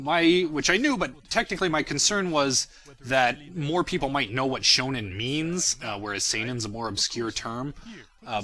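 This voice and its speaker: Bright-voiced young man doing casual commentary. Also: mid-range voice. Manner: self-deprecating, rambling, reflective. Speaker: creator of a fan parody series, commentator. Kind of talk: casual audio commentary on own work.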